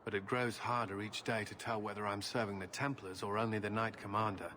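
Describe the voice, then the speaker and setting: Serious conflicted knight officer, fantasy game, formal talk, slight background noise. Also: male voice, fairly low pitch, level tone, a little fast, bright, forceful tone. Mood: solemn, troubled. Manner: formal, solemn. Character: grave, conflicted knight officer of a holy order.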